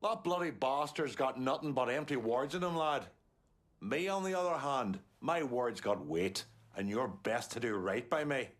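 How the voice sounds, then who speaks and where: A proud Irish gang boss in a crime game. His mid-pitched voice swings widely as he makes boastful demands. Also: animated, normal speed, fairly bright tone. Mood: boastful, scornful. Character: proud, aggrieved Irish mob boss, male. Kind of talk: video game cutscene acting.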